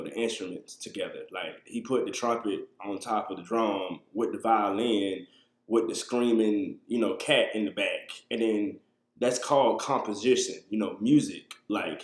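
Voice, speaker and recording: A man in his thirties with a fairly low voice talks in a loose, conversational way, answering questions from his viewers. The sound comes from a webcam in a small room, with noticeable echo off the walls. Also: normal speed. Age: 30s. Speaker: livestream host. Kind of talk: casual live talk to viewers.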